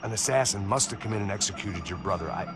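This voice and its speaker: An actor playing a washed-up middle-aged American ex-cop, narrating in a weary, self-deprecating, hard-boiled noir manner. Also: male voice, fairly low pitch, animated, quick pace, noisy background. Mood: earnest, pleading. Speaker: protagonist and narrator. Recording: loud music behind the voice.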